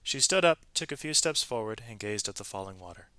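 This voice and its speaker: A man narrating a children's story aloud in an expressive, animated way, with varied emphasis from phrase to phrase. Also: fairly low pitch, brisk, no room sound.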